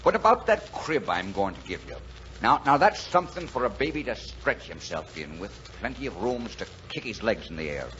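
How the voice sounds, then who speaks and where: A gruff, folksy delivery driver with a big heart, his pitch leaping and dipping as he blusters. It is old radio drama, dull in sound with a faint layer of noise. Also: very animated, normal speed, fairly bright tone. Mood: warm, jovial. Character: gruff, kindhearted Irish-American bachelor delivery driver. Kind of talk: 1940s radio drama acting. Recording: dull, noisy 1940s radio recording.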